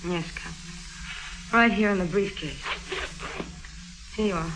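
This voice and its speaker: Prim, loyal, earnest secretary. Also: female voice, on the low side, a little slow, a bit bright. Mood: formal. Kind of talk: 1950s radio drama acting. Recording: noisy old 1950s radio recording.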